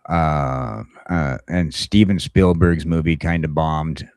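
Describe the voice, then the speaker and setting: A man's voice: very deep voice, fairly even intonation, takes it slowly, darkish tone, smooth, dry recording, studio quality. A man hosting a casual talk show, rambling along and repeating phrases for emphasis. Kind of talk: casual chat.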